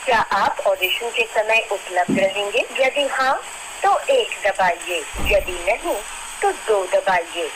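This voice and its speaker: A woman's voice: medium pitch, animated, slow, noisy background. A woman with an Indian accent reading formal scripted instructions as a phone line announcer, on a played-back call recording.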